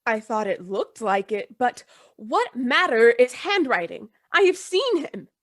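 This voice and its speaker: A woman's voice: a little high, sing-song, a little slow, no room sound, clean studio sound. A woman playing a frightened, loyal and deferential old household servant in a Gothic radio play. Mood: anxious. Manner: deferential, agitated, emphatic.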